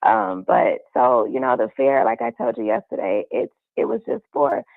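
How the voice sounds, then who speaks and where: A hoarse woman, a police community liaison, speaking earnestly and upbeat with many fillers over the phone. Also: medium pitch, sing-song, normal speed, no echo. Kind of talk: phone-in interview. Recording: tinny phone line.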